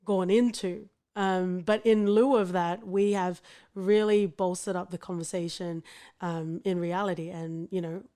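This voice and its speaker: A woman with an Australian accent hosting a podcast, chatty and quick to laugh. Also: lowish voice, steady tone, mellow. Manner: chatty, laughs often, trails off and restarts. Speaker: podcast host. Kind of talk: casual podcast chat.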